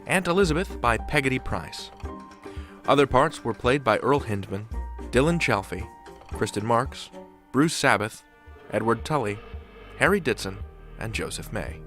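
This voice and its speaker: A man reading a script as a podcast host, in a polished, deliberate announcer style. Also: medium pitch, fairly bright tone. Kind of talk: scripted narration and sponsor reading.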